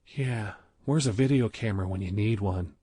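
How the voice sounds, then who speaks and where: A man voicing a confident, teasing woman in a sultry, soft way. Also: fairly low pitch, normal speed, slightly rough, dry recording.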